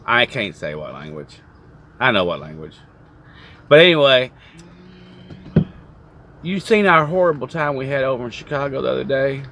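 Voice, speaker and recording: A man's voice: mid-range voice, lively intonation, moderate pace, pauses often, bright, forceful tone, slight background noise. A man chatting casually as a trucking vlogger, rambling and joking as he tells stories from a truck cab. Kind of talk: casual chat.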